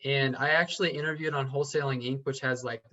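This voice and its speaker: A man talks as a podcast guest, earnest and halting, often stopping to restart a sentence. His voice has a middle pitch. The audio is slightly dull and lo-fi. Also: steady tone, pushed and bright. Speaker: podcast guest, real estate investor. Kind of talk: casual interview chat.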